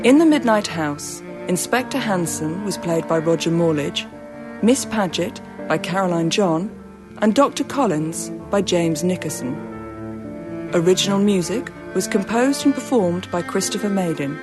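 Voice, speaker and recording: A woman announcer reading the closing credits in a measured, formal way. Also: fairly low pitch, lively intonation. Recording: music under the voice.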